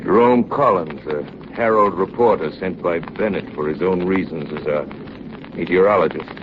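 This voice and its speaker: An actor playing an elderly, plainspoken retired naval engineer, reminiscing in 1930s radio drama narration. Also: male voice, sits low, normal speed, lightly acted. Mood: recounting. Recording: muffled, noisy 1930s radio recording.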